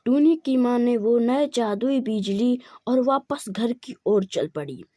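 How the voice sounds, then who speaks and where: A woman's voice: a little high, expressive, slow pace, warm tone, no echo, studio quality. A woman narrating a scripted children's moral story.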